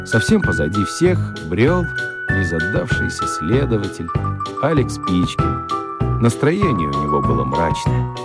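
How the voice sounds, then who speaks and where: A man narrating a children's radio play as an expressive storyteller, with deliberate dramatic pauses. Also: sits low. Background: prominent music.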